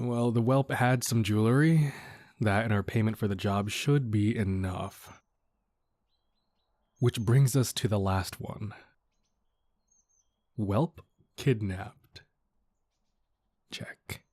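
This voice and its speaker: A man's voice: on the low side, a little mellow, dry recording. A man playing a cocky but considerate bandit leader in an audio roleplay, talking to the listener in a casual, folksy, wryly mock-courteous way. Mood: brisk, dry.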